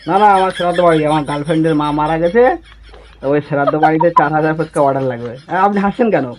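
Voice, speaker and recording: Bengali guy from west bengal doing a prank call, talks fast, keeps teasing, bit of noise on the line. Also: fairly high pitch. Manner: teasing, persistently questioning. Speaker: prank caller. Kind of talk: casual prank phone call. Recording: phone call with faint noise.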